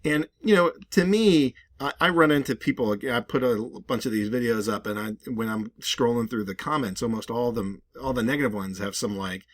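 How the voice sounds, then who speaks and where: A man's voice: medium pitch, a little fast, few pauses, no echo. A man hosting a video with casual, rambling and emphatic conversational commentary. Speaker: video host.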